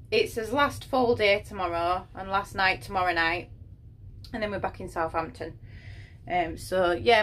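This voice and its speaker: A woman's voice: lowish voice, slight background noise. A woman in her thirties vlogging casually from a small cabin, talking into a phone at arm's length, rambling with fillers and little laughs. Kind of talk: casual travel vlog. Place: small ship cabin, indoors.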